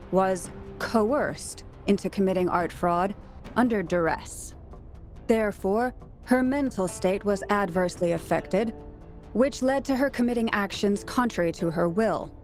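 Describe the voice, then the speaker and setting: A female actor playing a stern, composed magistrate who speaks in a formal, authoritative, measured way. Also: medium pitch, darkish tone, lightly acted.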